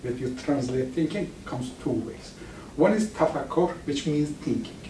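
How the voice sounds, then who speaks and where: A man whose first language is Farsi gives an informal lecture to an audience, speaking haltingly and often restarting words. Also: fairly high pitch, expressive, background noise. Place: lecture room with live audience. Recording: echoey room, mic far off.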